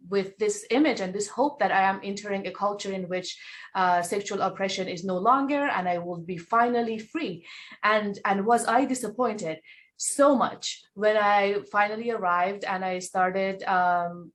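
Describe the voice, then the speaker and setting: A woman's voice: mid-range voice, a bit bright. Woman from iran, earnest and thoughtful, talking on a panel. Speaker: nonprofit co-founder. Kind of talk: panel talk.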